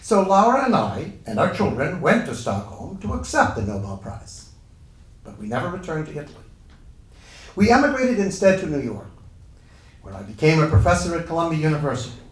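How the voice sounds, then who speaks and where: A man's voice: a little high, big pitch swings, a little fast. A man playing an earnest Italian-born physicist recounting his own life in a formal, declamatory dramatic reading. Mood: earnest, proud. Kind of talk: first-person dramatic reading of a historical monologue. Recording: echoey room, distant microphone.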